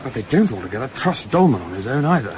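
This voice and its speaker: An actor playing an observant, composed gentleman adventurer in a radio play, speaking in a measured, articulate, understated way. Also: medium pitch, big pitch swings, noisy background, close to an everyday voice. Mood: wry, conspiratorial. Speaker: lead actor narrating and playing a part. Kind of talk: radio play narration and dialogue.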